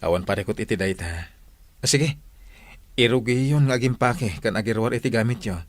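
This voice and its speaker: A man's voice: fairly low pitch, brisk, lightly acted. A man playing a good-natured, easygoing young husband in a radio drama. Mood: reassuring. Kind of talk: Ilocano radio drama acting.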